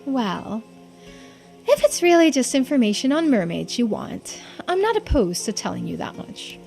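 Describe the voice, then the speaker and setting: Cryptic, matter-of-fact lore keeper for a game, female performer; composed, deliberate, faint music. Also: high voice, big pitch swings, gravelly, a little mellow, heavily acted. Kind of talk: video game character voicing.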